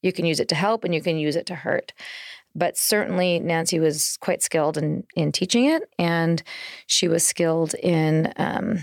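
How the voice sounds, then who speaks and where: Lower-pitched woman, close dry sound. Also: moderate pace, creaky, no echo. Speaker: podcast co-host. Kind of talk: casual podcast conversation.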